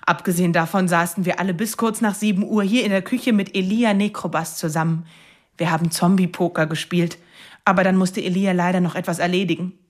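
An actress playing a brisk, oblivious and self-assured adult aunt in a radio drama. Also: female voice, fairly low pitch, fairly even intonation, close to an everyday voice. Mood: dismissive.

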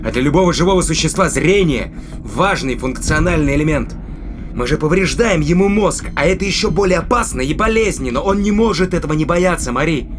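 Man acting a cruel, high-pitched male spaceship captain. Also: expressive, a little fast, noisy background, lightly acted. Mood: frustrated. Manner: commanding, taunting, sneering. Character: cruel, obsessive male spaceship captain tormenting a captive ape. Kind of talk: audio drama acting.

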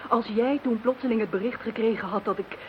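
A woman acting a decisive, bossy wife in a radio drama, speaking firmly and briskly. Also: on the high side, lightly acted. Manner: firm, brisk, commanding. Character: bossy, decisive wife taking charge among conspirators. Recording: dull radio recording with clear hiss.

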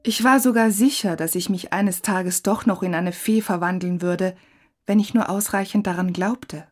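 A woman's voice: medium pitch, normal speed, darkish tone. A woman reading scripted first-person narration in a composed storytelling manner.

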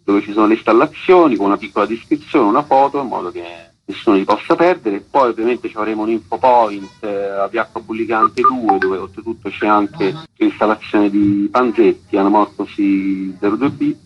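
A man's voice: lowish voice, brisk, hardly any pauses, slightly rough, muffled recording, soft background music. A man speaking informally as a guest in a radio interview. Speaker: festival artistic director, interview guest.

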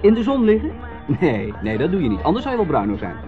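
A man's voice: a little high, huge pitch swings, quick pace, faint music behind. An actor playing a nervous, hesitant man who rambles and repeats himself, in 1970s radio drama style. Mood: insistent. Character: Joris, nervous chattering man visiting his estranged brother. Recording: dull, noisy 1970s radio recording.

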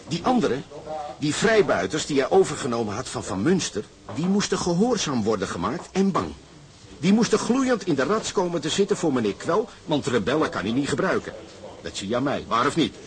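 An actor playing a fervent socialist sailor who lectures insistently and persuasively with emphatic questions, in a Dutch radio drama. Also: male voice, a little high, big pitch swings, brisk. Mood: fervent, agitated.

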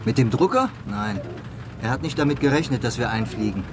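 An actor playing a surveillance driver in a radio crime drama, reporting briskly and factually. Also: male voice, mid-range voice, expressive, lightly acted. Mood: matter-of-fact. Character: matter-of-fact male surveillance driver, reporting. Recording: noisy street and vehicle ambience.

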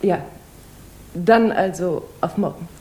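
An actress in a 1960s German radio play playing a gracious, persuasive, self-assured society wife. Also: female voice, lowish voice, slow pace, dark tone, low-quality recording. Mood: cool, assured.

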